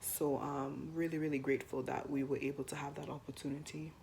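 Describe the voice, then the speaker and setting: A young woman co-hosting a personal finance chat to camera, hoarse while getting over the flu, chatty with frequent ums. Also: deep voice, little pitch movement, a little fast, a little mellow, a little hiss or hum. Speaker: co-host of a personal finance channel.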